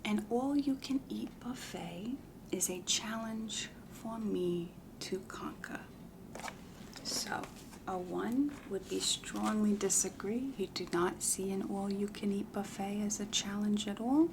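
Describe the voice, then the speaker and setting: A woman playing a self-assured, chatty matchmaker in a comedy ASMR roleplay, whispering softly in a deliberate, confiding, matter-of-fact way. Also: mid-range voice, noisy background. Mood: patient, businesslike. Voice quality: breathy whisper with heavy creak. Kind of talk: soft-spoken whispered ASMR roleplay questionnaire.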